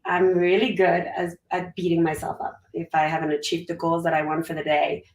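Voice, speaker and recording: A woman's voice: on the low side, level tone, brisk. Candid upbeat woman, echoey far-off mic. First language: French. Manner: candid, upbeat, talks in run-on thoughts. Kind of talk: casual conversation.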